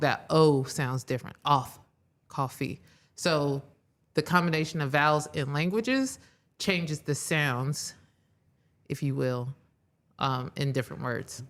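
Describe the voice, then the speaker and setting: A podcast guest with English as a second language, calmly explaining things step by step in casual chat. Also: mid-range voice.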